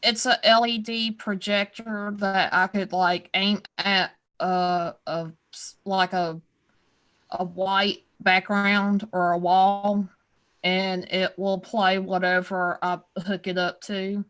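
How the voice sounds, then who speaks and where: Slow flat-voiced Southern woman. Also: medium pitch. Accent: Southern American. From Southern United States. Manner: halting, explains with fillers. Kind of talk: casual chat.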